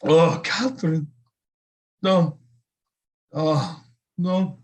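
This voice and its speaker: An actor playing a flattering, theatrical male seer and physician to a queen in an audio play, speaking in a grand, courtly, dramatic manner. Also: on the high side, very slow. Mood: horrified, stammering.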